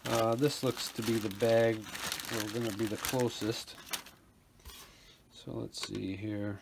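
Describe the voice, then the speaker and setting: A man's voice: lowish voice, little pitch movement, takes it slowly. A man giving casual, rambling commentary as he works hands-on at a workbench, thinking aloud informally. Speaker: repair video host, technician. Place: small indoor workshop at a workbench. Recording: clear background noise, handling rustle.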